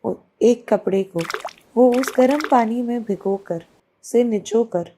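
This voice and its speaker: A woman's voice: fairly high pitch, measured pace, mellow, a little hiss or hum. A woman narrating a scripted children's story.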